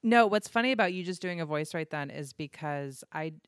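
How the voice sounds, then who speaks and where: A woman with a deep voice guesting on a podcast, chatting casually with self-mocking humor and swearing. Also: animated, a little fast, clear, resonant tone, dry recording, studio quality.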